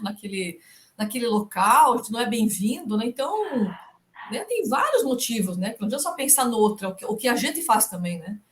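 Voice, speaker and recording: Chatty professor from southern Brazil. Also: female voice, on the high side, slight background noise. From Rio Negrinho, southern Brazil. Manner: warm storytelling, frequent "né?" tags. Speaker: law professor guest. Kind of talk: casual interview chat.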